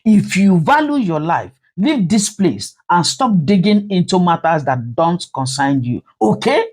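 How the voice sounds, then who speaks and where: A threatening male villain from a told tale, acted by the narrator. The delivery is forceful and menacing, with swings in pitch. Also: very animated, unhurried, breathy edge.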